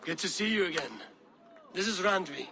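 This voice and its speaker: An actor playing a seasoned Viking warrior in a video game, dry, steady and measured. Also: male voice, high voice. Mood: steady, welcoming. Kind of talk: dramatic video game acting.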